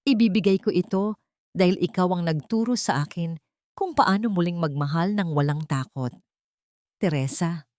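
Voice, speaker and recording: A woman voicing a tender, earnest man in love, speaking softly and sincerely in an audiobook drama. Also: fairly low pitch, no room sound, studio quality, close to an everyday voice. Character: tender, earnest man proposing to his beloved.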